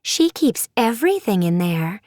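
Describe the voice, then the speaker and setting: A woman voicing a kind, sensible teenage girl who speaks gently. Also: mid-range voice, big pitch swings, a little slow, no echo, studio quality. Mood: gentle. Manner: gentle and explanatory.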